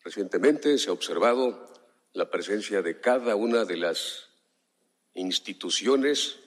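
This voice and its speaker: A man's voice: lowish voice, animated. A man in his fifties from southern Mexico, a municipal commissioner giving a formal speech at a press conference. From Juchitán, Oaxaca, Mexico. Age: 50s. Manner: slow, measured, formal address with long pauses. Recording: hall reverb, relayed press conference.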